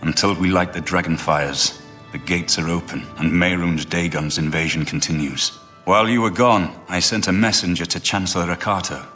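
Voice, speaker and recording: A man playing a solemn, resolute royal heir in a video game, speaking in an earnest, measured, formal way. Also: deep voice, fairly even intonation, pushed and bright, faint music behind. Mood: grave, determined. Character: solemn, resolute male heir to an imperial throne. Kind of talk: video game dramatic dialogue.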